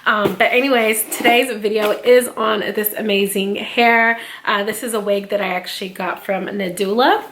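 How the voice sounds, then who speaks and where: A woman's voice: mid-range voice, fairly even intonation, moderate pace, hardly any pauses, a bit bright, slight background noise, poor audio. A young adult woman reviewing a wig in a casual beauty vlog, chatty and slangy, with her voice a little off from feeling sick. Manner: chatty and slangy, addresses viewers as sis and y'all.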